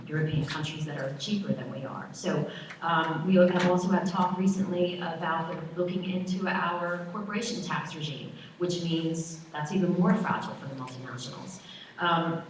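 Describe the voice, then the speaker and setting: An American woman giving an earnest prepared speech at a panel forum, with filler ums. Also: lowish voice. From United States. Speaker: panel speaker. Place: conference hall. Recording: echoey hall from far off, faint noise.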